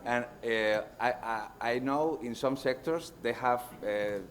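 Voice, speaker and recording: A man on a university seminar panel, speaking haltingly with many fillers. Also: medium pitch, a little hiss or hum. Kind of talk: academic panel discussion.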